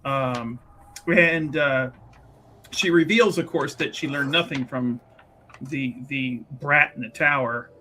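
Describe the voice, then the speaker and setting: A man improvising casual, halting and wordy narration as a player in a tabletop roleplaying game. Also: mid-range voice, bright, forceful tone, a little hiss or hum.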